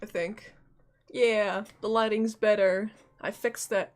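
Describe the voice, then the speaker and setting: A woman's voice: mid-range voice, steady tone, slow pace. A woman live-streaming a game, giving informal, casual reactions and commentary with some swearing.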